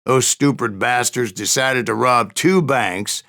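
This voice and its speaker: A grizzled old gunslinger narrates his exploits for a Western video game. His voice is full of gravel and fry at an ordinary male pitch, with an American Western accent. He tells it like a folksy, salty raconteur with a wry edge. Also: takes it slowly, pushed and bright, full character voice. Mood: wry, boastful. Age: older.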